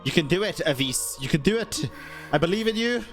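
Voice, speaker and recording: A man's voice: high voice, animated, a little fast, music in the background. Casual, sweary male game streamer. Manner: informal, sweary. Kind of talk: casual live game commentary.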